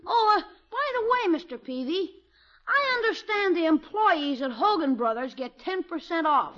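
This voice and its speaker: Brash schoolboy wheeler-dealer, boyish and eager, very lively intonation, bright tone, old radio sitcom. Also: male voice, very high voice, normal speed, heavily acted. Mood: sly, gleeful. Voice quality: youthful, boyish. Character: cheeky, scheming young boy, eager salesman nephew. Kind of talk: 1950s radio sitcom acting. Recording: dull vintage radio recording.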